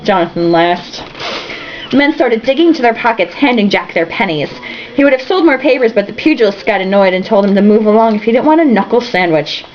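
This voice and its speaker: A woman's voice: medium pitch, animated, brisk, muffled recording, slight background noise. A woman reading a novel aloud for comedy, irreverent and breaking off for snarky, sweary asides. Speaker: amateur book reader and host.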